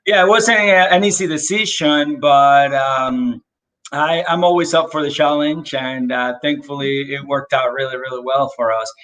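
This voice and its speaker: A man's voice: medium pitch, steady tone, normal speed, fairly bright tone, low-quality recording. A man from the Dominican Republic, a real estate agent and interview guest, telling his story animatedly and with many fillers over a video call.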